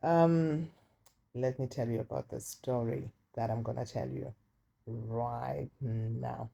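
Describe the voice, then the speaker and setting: A woman with a deep voice casually telling a personal story, chatty and confiding, often repeating words for emphasis. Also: steady tone, takes it slowly, vocal fry, mellow, slightly rough. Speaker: storytime vlogger. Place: indoors while rain falls outside. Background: light rain.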